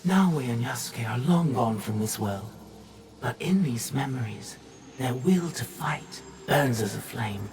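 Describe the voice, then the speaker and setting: A man playing an enigmatic, soothing guide of a memory simulation in a video game, speaking in a measured, solemn way. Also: medium pitch, expressive, a little mellow, a little hiss or hum. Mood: solemn, confiding. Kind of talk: scripted video game narration. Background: music.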